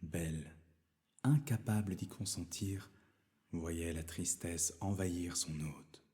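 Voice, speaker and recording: Male storyteller for fairy tale narration: low, mellow, expressive delivery. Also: takes it slowly.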